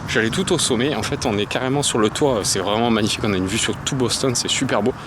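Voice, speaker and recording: A man's voice: medium pitch, quick pace. A young man from France chatting casually while walking through the city, with lots of fillers and slang. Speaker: vlogger. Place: city streets outdoors, walking. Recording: loud traffic noise outdoors.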